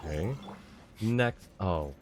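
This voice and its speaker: Deep-voiced, animated man streaming a game. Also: slow pace, background noise. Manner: playful muttered reactions to the game. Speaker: video game live streamer. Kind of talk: casual live gameplay commentary. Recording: music behind the voice. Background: music.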